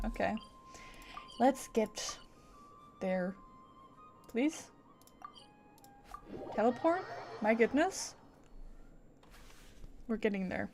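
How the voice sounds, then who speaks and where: A woman livestreaming a video game, chatting casually to viewers in a playful, rambling, giggly way. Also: medium pitch. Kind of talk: casual livestream chat with viewers while gaming.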